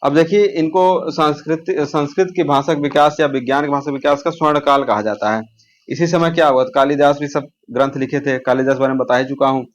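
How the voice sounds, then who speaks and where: A man's voice: a little high, steady tone, brisk. A man teaching an exam-prep lesson, asking quiz questions and answering them himself, repeating the key answers. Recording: dull lo-fi audio.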